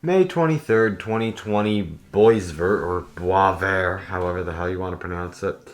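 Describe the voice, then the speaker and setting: A man's voice: deep voice, moderate pace, reverberant, background noise. A man giving casual, opinionated video essay commentary in an informal, emphatic way that leans on intensifiers and casual swearing. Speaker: host of a video guide.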